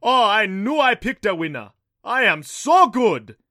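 Booming, jovial thunder god. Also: male voice, expressive, moderate pace, no room sound, studio quality. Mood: gleeful. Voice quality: loud, booming. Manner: laughs heartily, exclaims grandly.

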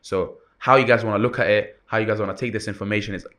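A young university student co-hosting a casual chat, talking in a relaxed way with fillers and repeated words. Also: male voice, lowish voice, fast. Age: young adult. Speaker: co-host.

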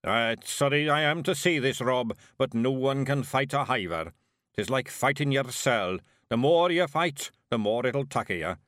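A man's voice: mid-range voice, animated, moderate pace, a bit bright, no room sound, studio quality, voice pushed up, natural voice. A man voicing a small, gloomy male fairy clansman with a broad Scottish accent and a doom-laden manner. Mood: worried.

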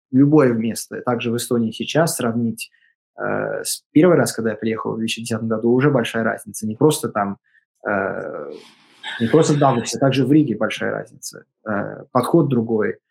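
Male interview guest from Latvia; husky, halting, thoughtful. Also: medium pitch. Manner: halting, many fillers and restarts. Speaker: interview guest, project author. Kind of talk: reflective interview answers.

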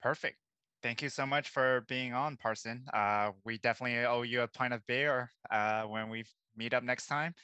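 A man's voice: medium pitch, steady tone, normal speed, bright, forceful tone, smooth, no echo, dull audio. A man hosting a casual podcast, chatting as he leads the conversation. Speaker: podcast host.

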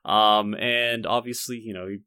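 A man's voice: fairly low pitch, steady tone, moderate pace, pushed and bright, clean tone, dry recording, clean studio sound. A man hosting a podcast in casual chat, talking in a rambling, hesitant way. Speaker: podcast host.

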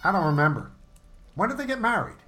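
A man's voice: sits high, big pitch swings, quick pace, pushed and bright, exaggerated voice. A man playing a naive, eager, meddling office buddy in a comedic audio play, guileless and nosy. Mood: puzzled, nosy.

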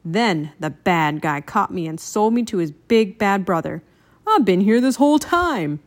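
A woman's voice: medium pitch, expressive, unhurried, close to an everyday voice. A woman voicing a big, courteous father crocodile in a children's storybook. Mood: emphatic, emotional. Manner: courteous, earnest, emotional.

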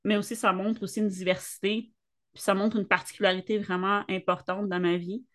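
A woman's voice: medium pitch, a little fast. A young woman co-hosting a casual conversation, speaking candidly and personally. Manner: candid, personal, animated when quoting others. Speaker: podcast co-host.

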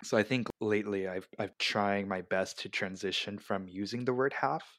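Low-voiced American man chatting casually. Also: moderate pace, fairly bright tone, no echo, clean studio sound. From United States. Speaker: podcast host. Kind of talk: casual discussion.

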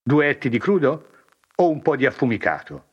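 A man's voice: mid-range voice, big pitch swings, dull audio, voice pushed up. A man playing a jovial, muddled old grocer. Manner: jovial shopkeeper banter, brisk and sure. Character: older male ex-grocer, cheerful, confused.

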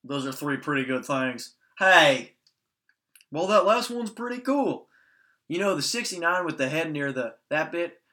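A man voicing a loud, brash Southern race car driver in a put-on drawl, belligerent and yelling. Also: fairly high pitch, sing-song, unhurried, full character voice.

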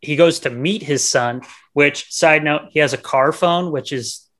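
A man's voice: fairly high pitch, a little slow, fairly bright tone. A man co-hosting a podcast, casually retelling a movie in a rambling, slangy, profane way. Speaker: podcast co-host. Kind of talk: casual movie review banter.

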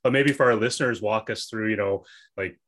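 Man, podcast host, lowish pitch, casual, curious, hedging. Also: fairly quick, fairly bright tone, slight background noise. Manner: curious, hedging questions with many "like"s. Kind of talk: casual interview chat.

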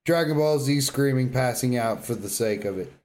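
A man's voice: mid-range voice, normal speed, a little mellow. A man co-hosting a podcast, talking casually about games in a blunt, emphatic, opinionated way. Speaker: podcast co-host. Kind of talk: casual gaming banter and debate.

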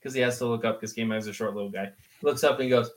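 Male sports podcast co-host, mid-pitched voice, chatty and slangy, explains in casual conversation. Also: steady tone, quick pace. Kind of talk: casual motorsport discussion.

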